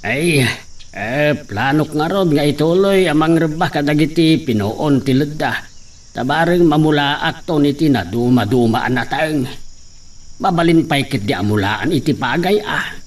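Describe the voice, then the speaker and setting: A man's voice: a little high, animated, unhurried. A man playing an earnest, protective old peasant father in a radio drama, with an Ilocano accent. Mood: homely, practical.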